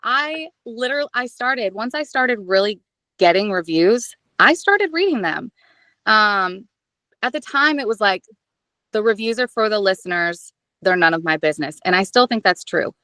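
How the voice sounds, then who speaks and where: A woman audiobook narrator chatting as an interview guest, expressive and self-deprecating, with a casual, animated way of talking. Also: medium pitch, moderate pace, no echo.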